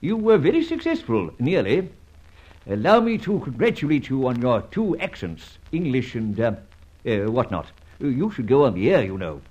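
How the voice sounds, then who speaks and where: A debonair rogue of an old radio adventure serial, teasing and self-assured. His slightly husky voice swoops dramatically through polite, drawling taunts. The recording is muffled and vintage. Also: mid-range voice, very animated, moderate pace, darkish tone. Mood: triumphant, taunting. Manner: suave, teasing, theatrically polite, hesitant drawl.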